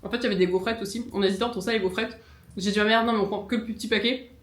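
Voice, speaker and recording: A woman's voice: medium pitch, steady tone, very fast. A young woman from France vlogging casually to camera, chatty and bubbly with many fillers, filmed on a handheld camera. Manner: chatty and bubbly, gushing "trop bon", many "euh". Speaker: travel vlogger. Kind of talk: casual travel vlog to camera. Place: outdoors and in a hotel room by a lake.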